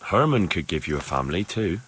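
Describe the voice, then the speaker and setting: Earnest young man, lowish voice. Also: sing-song, fairly quick, a bit bright, slightly rough, full character voice. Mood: gentle, hesitant. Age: young adult. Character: earnest young blacksmith's son, plain-spoken and dutiful. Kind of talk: video game cutscene dialogue.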